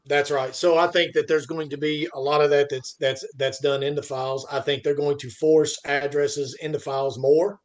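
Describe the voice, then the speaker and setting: Male podcast host, slightly high register. Unscripted trade talk, stumbling restarts, emphatic delivery. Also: little pitch movement. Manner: halting, repeats phrase starts; emphatic, asks and answers own questions. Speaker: podcast host and freight industry commentator. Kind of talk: unscripted industry discussion.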